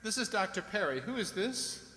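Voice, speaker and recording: High, bright-voiced concerned doctor, acted by a man. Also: very animated, normal speed, heavily acted. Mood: questioning. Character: concerned, devoted male doctor. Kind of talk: amateur radio drama acting. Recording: echoey hall with long reverb.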